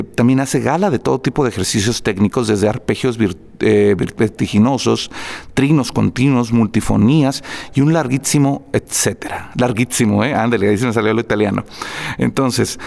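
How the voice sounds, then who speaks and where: Gravelly concert presenter. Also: male voice, on the low side, a little mellow. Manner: reads prepared notes with fillers, restarts and asides. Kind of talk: spoken program notes before a concert.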